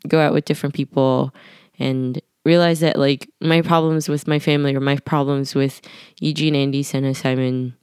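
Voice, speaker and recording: A woman's voice: extremely low pitch, level tone, moderate pace, darkish tone, clean tone, no room sound, studio quality. A young woman recording a casual voice diary at home late at night, rambling with lots of fillers, her voice a little nasal. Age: young adult. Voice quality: slightly nasal. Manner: rambling, many "like" fillers, scatterbrained. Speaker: podcast diarist.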